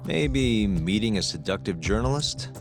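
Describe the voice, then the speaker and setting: A man's voice: fairly low pitch, animated, a little slow, over music. A man playing a cool, wry, determined detective in a video game cutscene.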